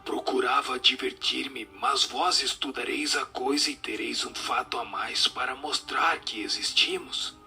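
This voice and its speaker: An actor in a radio drama playing the merry, mischievous spirit of a dead street ragpicker, with playful, breathy delivery. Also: male voice, medium pitch, steady tone, a little slow, few pauses, pushed and bright, heavily acted. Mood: playful, boastful. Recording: music under the voice.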